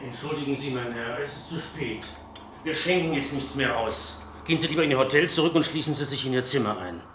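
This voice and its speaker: An actor playing a stern, formal café innkeeper with an emphatic, curt way of talking, in a German radio play. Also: male voice, mid-range voice, brisk, fairly bright tone, lightly acted. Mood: stern, warning. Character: surly, stern café innkeeper, fussily polite to regulars. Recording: roomy echo, background noise.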